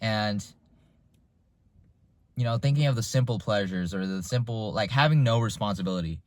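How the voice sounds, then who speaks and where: A man's voice: on the low side, moderate pace, no echo. A man in his twenties, raised in the United States, talking through his thoughts in a loose, reflective monologue with frequent restarts. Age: 20s. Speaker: vlogger. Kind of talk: casual unscripted monologue.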